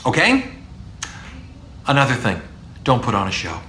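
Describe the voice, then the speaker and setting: A middle-aged baritone man gives an animated podcast lecture with a lively, swinging pitch. He is emphatic, drops into low, punchy asides, and sounds like he is in an echoey room with faint hiss behind him. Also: moderate pace. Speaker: speech professor. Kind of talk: podcast lecture on public speaking.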